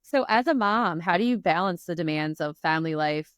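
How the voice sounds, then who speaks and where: Low-voiced woman podcast host. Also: expressive, a little fast, clear, resonant tone, dry recording, clean studio sound. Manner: conversational, with frequent "like". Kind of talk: casual podcast interview.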